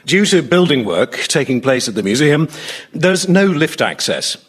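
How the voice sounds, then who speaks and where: A man's voice: fairly high pitch, very animated, moderate pace, husky, no echo. A man reading scripted announcements and credits for a radio comedy show. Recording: loud audience noise behind the voice.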